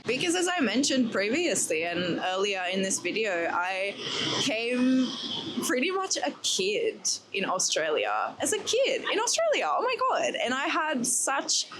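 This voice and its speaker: A woman's voice: on the high side, wildly swooping pitch. A young woman from the Czech Republic with a Czech accent, chatting casually in a vlog Q&A and laughing often. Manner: chatty, laughs often, quick asides to viewers. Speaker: vlogger. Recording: noisy background ambience.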